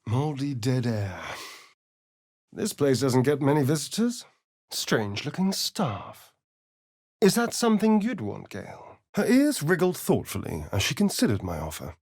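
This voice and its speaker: Sly, swooping vampire rogue. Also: male voice, mid-range voice, sing-song, unhurried, a little mellow, dry recording, clean studio sound. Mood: measured storytelling. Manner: theatrical, arch, haughty delivery. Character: vain, sly, sardonic male vampire spawn adventurer. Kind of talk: video game character voice lines.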